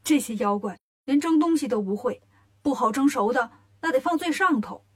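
A cocky male monkey king, voiced by a woman storyteller. He is quick-witted and bossy, talking in brisk, colloquial phrases as the hero of a children's storybook. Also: high voice, expressive. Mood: mocking.

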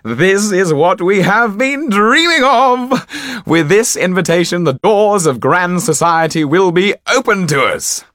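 An exuberant stage magician from a storybook, acted out by a man, pitched well up and declaiming grandly. He is excitable and ambitious, relishing every phrase in a theatrical flourish. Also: a little slow, no room sound, clean studio sound.

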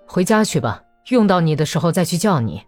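A curt, grief-stricken male officer, read by a woman lowering her voice, speaks in short, quiet phrases. The recording is dry and close, with faint music behind it. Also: very animated, brisk, dry recording. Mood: curt, grieving. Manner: terse, subdued. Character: grieving curt Cossack officer, middle-aged man.

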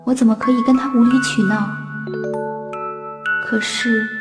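A woman playing a wistful, lovesick young sock with a soft, dreamy, tender manner in a Chinese radio drama. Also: a little high, steady tone, a little slow, echoey room, music in the background, natural voice. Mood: hurt, wistful. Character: wistful young female left-foot sock, lovesick and innocent. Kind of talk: Chinese radio drama acting with inner monologue.

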